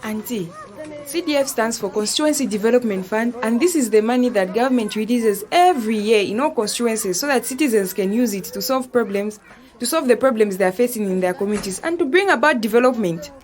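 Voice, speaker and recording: A woman with a Zambian accent playing a bright, respectful young woman who patiently explains things in an educational audio drama. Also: on the high side, animated, quick pace, lightly acted. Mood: earnest, explanatory.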